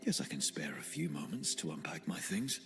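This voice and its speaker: An actor playing a reflective, earnest young male adventurer in a video game cutscene, musing aloud with quiet determination. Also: very deep voice, lively intonation, a little fast, music in the background.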